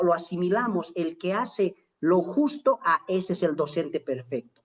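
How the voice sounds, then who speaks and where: A middle-aged lecturer with a Bolivian accent giving a reflective, motivational class to fellow teachers, emphatic, stretching out key phrases and posing rhetorical questions. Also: mid-range voice. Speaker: lecturer in an online teacher-training course.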